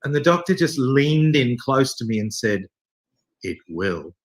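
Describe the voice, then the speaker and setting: A man's voice: medium pitch, sing-song, measured pace. A man in his forties, an actor being interviewed, telling casual anecdotes in a jokey, self-deprecating way. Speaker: interview guest, theater actor. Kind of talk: animated anecdotal storytelling in casual chat. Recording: roomy, echoey sound.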